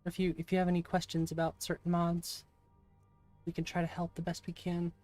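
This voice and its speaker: A streamer with a raspy voice chatting casually with viewers during a live game stream. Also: mid-range voice, completely flat delivery, a little fast, darkish tone, faint music behind. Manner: chatty, reacts aloud and answers chat. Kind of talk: casual live-stream chat while gaming. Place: home room.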